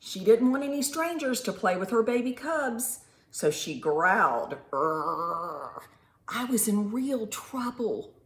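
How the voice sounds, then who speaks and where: A woman reading a picture book aloud to young children in an animated, teacherly way, pausing to ask simple questions and stretching words for emphasis. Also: on the high side. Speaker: children's storytime reader and teacher. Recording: roomy echo with faint background noise.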